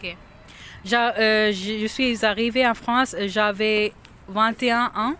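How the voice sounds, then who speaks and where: A young woman speaks carefully and haltingly outdoors, often restarting words as she hunts for them. Her voice sits at a middle pitch, with faint noise in the background. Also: steady tone, fairly bright tone. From Pakistan. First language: Urdu. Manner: hesitant, halting, searches for words with many restarts. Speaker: interview guest. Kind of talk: casual interview. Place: outdoors on a city street.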